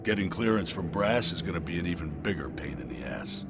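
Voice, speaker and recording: Deep, intimidating soldier, loud music. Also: male voice, animated, fairly quick. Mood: dry, sardonic. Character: intimidating male resistance soldier, dry and grumbling. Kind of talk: video game cinematic acting.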